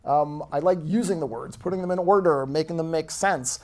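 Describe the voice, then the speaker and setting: A man, an author, chatting at a bookstore event, self-deprecating and relaxed, with plenty of fillers. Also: on the high side, brisk. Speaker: author being interviewed. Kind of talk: casual conversation at a book event.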